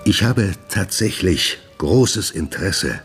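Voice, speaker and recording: Secretive former doctor, low-pitched, animated delivery, urbane, condescending, radio play, faint music. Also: male voice, takes it slowly. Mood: earnest, subdued. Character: troubled, secretive gentleman scientist and former doctor. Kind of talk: German radio play acting.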